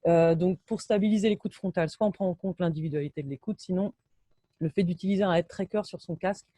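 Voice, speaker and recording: A woman speaks over a video call, her voice mellow and warm, recorded dry and close with no room around it. She talks shop with enthusiasm, hesitating and restarting as she goes. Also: on the low side, fast, no echo. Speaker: binaural sound engineer, guest speaker. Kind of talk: casual technical presentation. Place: home, in Brittany.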